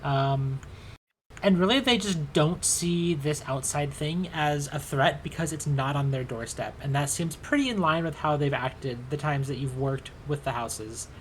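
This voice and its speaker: A game master narrating a tabletop game casually, thinking aloud with halting phrasing. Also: mid-range voice, slight background noise, low-quality recording.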